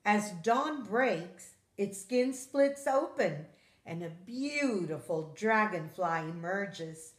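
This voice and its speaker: Female read-aloud for children's nonfiction: slightly low voice, slow careful storytelling delivery, noticeable room echo. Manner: measured, expressive storytelling for children.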